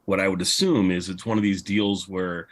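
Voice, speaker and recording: Male moderator, low register, loose conversational delivery, feels his way into questions with repeated words. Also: fairly even intonation, normal speed. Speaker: panel moderator. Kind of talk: casual panel discussion of old-time radio.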